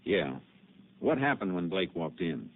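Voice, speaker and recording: An actor playing a calm, methodical male Texas Ranger detective in a 1950s radio drama, asking measured questions. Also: low-pitched, exaggerated voice. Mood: steady, probing. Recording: tinny lo-fi old radio broadcast with faint hiss.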